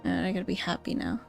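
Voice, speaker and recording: Hoarse woman streamer, swearing freely. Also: lowish voice. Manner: blunt, frequent swearing, rambling. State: scratchy, strained voice. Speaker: gaming streamer. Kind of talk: casual gameplay commentary. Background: game music.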